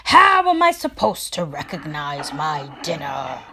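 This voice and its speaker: Woman playing a loud, grumpy storybook lion. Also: low voice, huge pitch swings, a little slow, vocal fry, background noise, exaggerated voice. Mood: indignant. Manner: forceful, indignant. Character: grumpy hungry lion complaining loudly.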